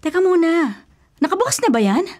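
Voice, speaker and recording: An actress with a Filipino accent playing a fussy, skeptical, superstitious bride-to-be in a radio drama, blunt and questioning. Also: female voice, very high-pitched, very animated, fairly quick, a little mellow.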